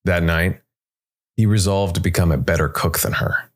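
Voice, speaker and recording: A man reads scripted dark fantasy narration in a measured way, with dramatic pauses between short phrases. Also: low-pitched. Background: background music.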